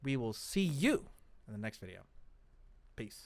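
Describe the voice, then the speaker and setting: A man with a mid-pitched voice hosts a casual podcast discussion. He speaks conversationally to the group, and the sound is dry with very little room around it. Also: very animated, unhurried, dry recording. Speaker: podcast host.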